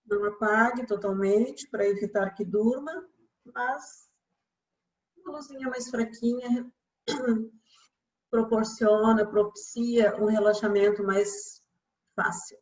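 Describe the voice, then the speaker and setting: A Brazilian woman guiding a relaxation meditation as a yoga instructor, speaking calmly and soothingly with long pauses. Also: a little high, muffled recording.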